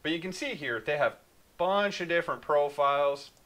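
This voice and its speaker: A man in his thirties hosting a casual, animated shop talk and walking through a website, recorded in a large machine shop. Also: a little high, fairly quick. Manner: animated, gestures broadly while talking. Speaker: show host. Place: machine shop floor, large indoor space.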